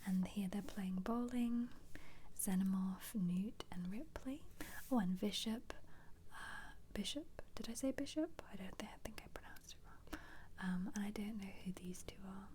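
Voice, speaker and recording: A woman speaking in a soft, breathy, mostly whispered ASMR style, chatting casually and gently as she muses aloud over what she is looking at. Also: medium pitch, normal speed, a little hiss or hum. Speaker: ASMR video creator. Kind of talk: ASMR, soft-spoken casual book flip-through commentary.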